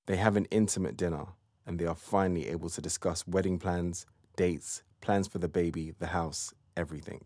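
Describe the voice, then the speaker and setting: A man narrating an audio play in a measured, scripted storytelling style. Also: low-pitched, fairly even intonation, darkish tone, no echo, clean studio sound.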